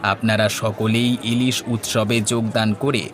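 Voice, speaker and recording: A man's voice: lowish voice, level tone, a little slow, fairly bright tone. A man voicing an earnest, brave village man in a cartoon, speaking in a declamatory, respectful way. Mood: proclaiming. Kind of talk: Bengali cartoon voice acting. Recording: music playing behind the voice.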